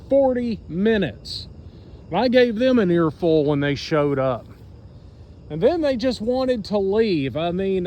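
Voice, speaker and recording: American male storyteller, voice a bit high, blunt and informal delivery, light background noise. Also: darkish tone. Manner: blunt, sarcastic, plain-spoken. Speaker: storyteller recounting own experience to followers. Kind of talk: casual spoken storytelling.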